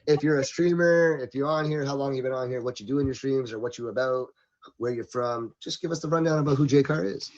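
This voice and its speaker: The audio is muffled and lo-fi, like a streaming app. A man with a medium-pitched voice hosts a live show, animated and informal, using loose slang and talking right to the audience. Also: quick pace. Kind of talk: casual live hosting, slangy.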